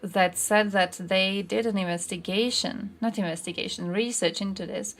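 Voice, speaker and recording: A woman's voice: medium pitch, steady tone, normal speed. A woman from Croatia, chatting casually and informally as a livestream host, with some swearing. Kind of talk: casual livestream chat, reacting to chat and posts.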